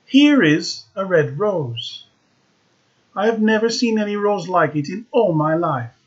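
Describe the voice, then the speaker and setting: A man's voice: high voice, a little slow, lo-fi sound. A man voicing a young male student, impulsive and petulant, in a read-aloud fairy tale. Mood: delighted. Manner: excited, then sulky and lecturing.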